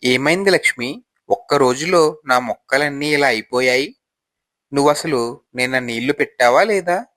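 A man's voice: medium pitch, normal speed, bright, forceful tone, no echo, close to an everyday voice. A man playing an envious, brooding village husband who gardens, in a moral storybook tale. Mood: upset, scolding.

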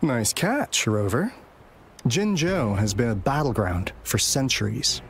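Knowing young male game informant, mid pitch, theatrical, swinging intonation, music underneath. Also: sing-song, unhurried. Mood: knowing, ominous. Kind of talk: video game character dialogue.

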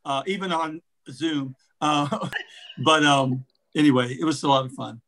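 A man's voice: on the high side, steady tone, a little slow, a bit bright. An older man chatting casually and enthusiastically in a rambling way on a video call. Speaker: amateur character actor. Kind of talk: casual chat. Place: indoor room at home. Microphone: computer on a video call.

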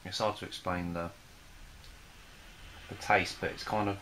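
A young man casually reviewing a beer at home, talking in a relaxed, hesitant way with many fillers. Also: deep voice, measured pace, slight background noise, low-quality recording. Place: small room in front of a plain wall.

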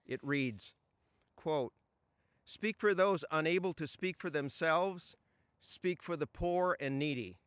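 An older man reading a written eulogy aloud at an outdoor funeral, in a measured, solemn way, into a stand mic. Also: fairly high pitch, a bit bright, clean tone, dry recording. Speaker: reader of a friend's tribute. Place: outdoors at a funeral gathering among trees.

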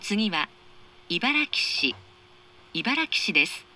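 Slow, bright woman train announcer. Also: medium pitch, big pitch swings. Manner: formal, measured, carefully articulated. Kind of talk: scripted recorded train announcement. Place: recorded inside a train carriage. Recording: onboard train speaker with running noise.

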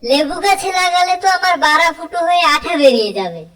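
A man's voice: very high-pitched, big pitch swings, fast. A man voicing an older male tree in a comic cartoon, coaxing and sweet-talking his partner. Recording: distant from the mic, under loud music.

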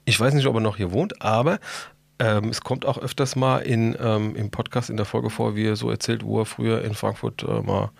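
Male podcast host, deepish and raspy, colloquial, jokey and blunt, casual chat. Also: steady tone, fairly quick, dry recording.

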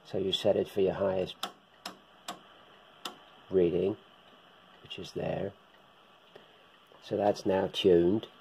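A man giving a casual, hands-on equipment demonstration as a hobbyist presenter, talking unhurriedly in short, halting bursts. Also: sits low, flat delivery, creaky, a little mellow, muffled recording, slight background noise. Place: indoors, room with radio gear on a shelf.

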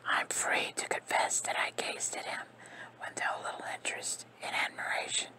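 Woman whispering a comic story. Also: moderate pace. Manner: soft unvoiced whisper throughout. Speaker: narrator. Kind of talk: ASMR whispered audiobook reading.

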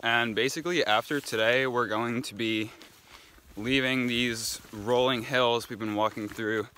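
A man's voice: medium pitch, measured pace. A young man giving casual trail updates outdoors in a forest, filmed on a phone held at arm's length. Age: young adult. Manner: relaxed, matter-of-fact updates while hiking. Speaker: hiker vlogger.

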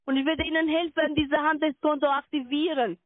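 Insistent high-voiced woman on a phone line. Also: flat delivery, dry recording. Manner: insistent, repetitive, halting non-native phrasing. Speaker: call centre sales agent. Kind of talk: scripted sales call. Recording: narrow tinny phone line.

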